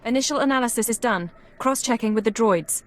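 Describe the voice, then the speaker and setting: An actress playing a young, efficient intelligence analyst in a video game, with a clipped, precise, earnest manner. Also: female voice, a little high, brisk, close to an everyday voice. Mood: alert, efficient.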